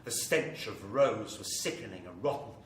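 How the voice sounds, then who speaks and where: An ominous horror storyteller, played by a man, with a bright, forceful voice. He narrates theatrically and suspensefully in an echoey live space, a little away from the mic. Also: on the low side, expressive, moderate pace. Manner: theatrical, suspenseful, building to intensity. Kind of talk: scripted dramatic horror narration. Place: live performance venue with audience. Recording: echoey live hall, distant mic, faint noise.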